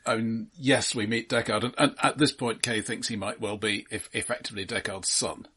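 A man's voice: on the low side, steady tone, a little fast, sharp tone, slightly rough. A man in casual film podcast conversation, with hesitant starts and dry understatement. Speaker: film discussion podcast host. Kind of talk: casual film review chat.

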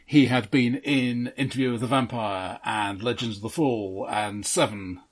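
A man co-hosting a casual film discussion, explaining points in a measured way. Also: mid-range voice. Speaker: podcast co-host.

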